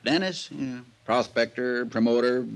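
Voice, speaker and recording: A folksy, genial western businessman acted by a man in a vintage radio drama. He has a mid-pitched voice and spins out his explanations in an unhurried, talkative way. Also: close to an everyday voice. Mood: folksy storytelling. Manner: folksy, drawn-out storytelling. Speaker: supporting actor in a radio drama. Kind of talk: classic radio drama acting.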